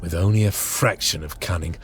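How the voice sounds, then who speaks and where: An actor playing a grim veteran space marine sergeant who narrates, solemn and measured with old-fashioned phrasing. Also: male voice, low-pitched, close to an everyday voice. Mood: brooding. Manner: solemn, measured, archaic speech. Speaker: narrator and squad leader. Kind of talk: game cutscene voice acting. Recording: with score music behind.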